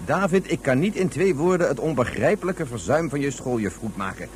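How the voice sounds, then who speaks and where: A man's voice: mid-range voice, lively intonation, a little fast, a little hiss or hum, lightly acted. An actor playing an opinionated, impatient father who lectures dismissively about federation principles in a science fiction radio drama.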